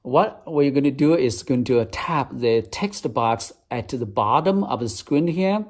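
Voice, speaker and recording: Chinese-accented man, mid-pitched, earnest English tutor, repeats himself as he explains steps. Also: animated, a little slow. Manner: earnest, step-by-step, repeats phrases. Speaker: English teacher. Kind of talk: app demonstration tutorial.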